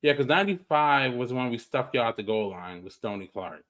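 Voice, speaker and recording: A man around forty, co-hosting a sports podcast in an easygoing way, quick to agree and laugh along. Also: medium pitch, animated, fairly bright tone. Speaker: podcast co-host. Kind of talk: casual sports chat.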